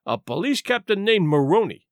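Storybook role: meek-looking, sly down-and-out man, done by a male reader, quiet, dryly ironic, unhurried, with lilting high voice. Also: very animated, no echo, studio quality. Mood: easy, sly. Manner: quiet, sighing, unhurried, wry formal wording. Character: shrunken shabby male vagrant, quiet, dry, sly companion.

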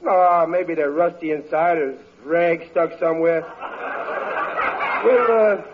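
Gullible American family man, high voice, slangy and indignant, 1940s radio comedy, dull hissy recording. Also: takes it slowly. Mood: impatient, insistent. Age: middle-aged. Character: blustering, gullible working-class family man, easily exasperated. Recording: muffled, noisy vintage radio recording.

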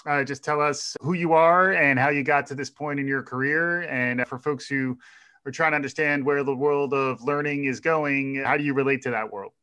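A man's voice: medium pitch, fairly even intonation, moderate pace, bright, forceful tone, smooth. A man hosting a podcast, enthusiastically interviewing his guests. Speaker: podcast host. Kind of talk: casual interview.

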